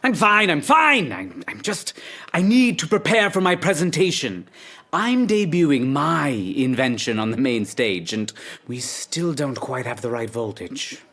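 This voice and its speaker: Husky, stern, flustered male scientist. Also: on the high side, sing-song, unhurried, fairly bright tone, exaggerated voice. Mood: shaken, curt. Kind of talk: scripted audio drama acting.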